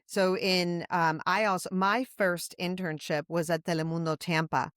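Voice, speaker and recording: Chatty woman from Puerto Rico, lowish voice. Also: fairly even intonation, moderate pace, fairly bright tone, clean tone, dry recording, studio quality. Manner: chatty, rambling, self-correcting. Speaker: podcast co-host. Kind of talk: casual interview chat.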